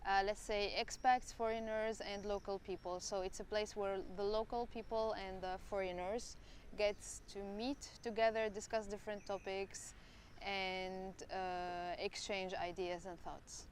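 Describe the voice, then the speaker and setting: A woman's voice: mid-range voice, level tone, measured pace, a little hiss or hum. Tunisian woman in her twenties, reflective. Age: 20s. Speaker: volunteer. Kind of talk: interview answers.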